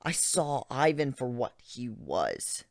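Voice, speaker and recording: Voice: mid-range voice, sing-song, dry recording, studio quality. A reader voicing an overwrought, frightened griffin. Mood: anguished, vehement. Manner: overwrought, halting, swinging from hushed to shouting. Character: Griffin, traumatized, frightened, furious griffin. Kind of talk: dramatic reading of fan fiction.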